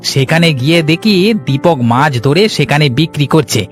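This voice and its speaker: Guy doing a gossipy young villager, eager tattletale, highish voice, cartoon, light music behind. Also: moderate pace, natural voice. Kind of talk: Bengali cartoon dialogue.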